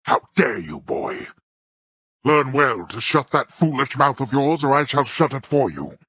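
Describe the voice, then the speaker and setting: An actor voicing a stern, contemptuous god of the dead in a video game, a haughty father with formal archaic speech and a gravelly voice. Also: mid-range voice, big pitch swings, unhurried, bright, forceful tone, no room sound, clean studio sound, full character voice. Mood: menacing anger. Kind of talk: video game character voice acting.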